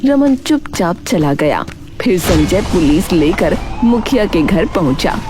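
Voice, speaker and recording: Storybook narrator, woman, slightly low register, animated melody, music bed underneath. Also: measured pace. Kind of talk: scripted storybook narration for a cartoon. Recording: music behind the voice.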